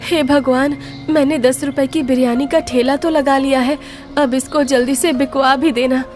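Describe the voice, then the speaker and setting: Woman playing a high-voiced, devoted village wife. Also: fairly even intonation, moderate pace, a little mellow, soft background music, natural voice. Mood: worried. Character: devoted, anxious, honest young village wife.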